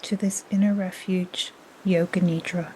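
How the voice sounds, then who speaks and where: A woman guiding a yoga nidra relaxation in a soft, breathy, half-whispered, soothing manner. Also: fairly low pitch, measured pace, low-quality recording. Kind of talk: guided relaxation meditation. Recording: steady soft noise like ocean waves. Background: gentle ocean waves.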